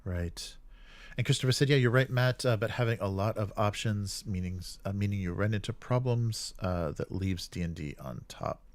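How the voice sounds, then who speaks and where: A man hosting a tabletop gaming livestream, chatting casually and reading out viewer comments in a friendly, informal, halting way. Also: fairly low pitch, no room sound.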